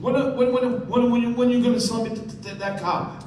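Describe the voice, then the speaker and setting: High, stammering, meek mechanic. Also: male voice, steady tone, moderate pace, a little mellow, recorded from a distance. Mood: nervous. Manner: heavy stammer. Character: meek, nervous, stammering working-class garage man. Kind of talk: live radio play acting. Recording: echoey stage recording from afar, noisy.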